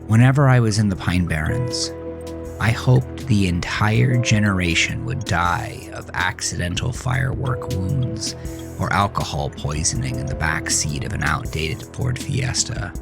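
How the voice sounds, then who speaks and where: An actor playing a jaded man who looks back on his angry teenage years in a brooding, confessional horror monologue with halting pauses. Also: deep voice, gravelly. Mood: brooding, bitter. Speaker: narrator of a horror audio drama. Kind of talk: first-person scripted horror narration, dramatic monologue. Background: music.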